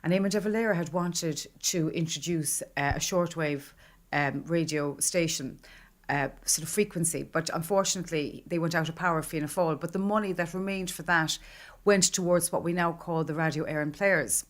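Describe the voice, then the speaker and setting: Very low-voiced Irish woman explaining. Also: moderate pace. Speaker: broadcast historian, expert interviewee. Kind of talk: explanatory interview talk.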